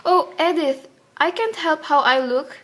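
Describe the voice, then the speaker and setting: An actress playing a gentle, youthful-looking married woman in a radio play, playful yet anxious. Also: high-pitched, unhurried. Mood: hurt, pleading.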